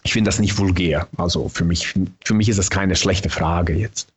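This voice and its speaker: A man with a Bosnian accent, native in Bosnian, talking thoughtfully about his own novel and pausing often to find the right words. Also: deep voice, steady tone, husky. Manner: thoughtful, searching for words with restarts.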